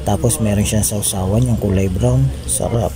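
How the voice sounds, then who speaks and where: A man's voice: on the low side, steady tone, normal speed. A man giving casual, polite travel vlog narration to his viewers. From Philippines. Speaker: travel vlogger. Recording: music behind the voice. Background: background music.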